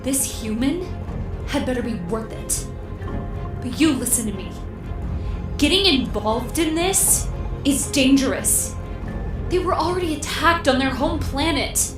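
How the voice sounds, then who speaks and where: High-voiced, scornful alien sister. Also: female voice, expressive. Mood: bitter. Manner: cutting, disapproving, deliberate. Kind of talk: audio drama acting. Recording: roomy echo with music underneath.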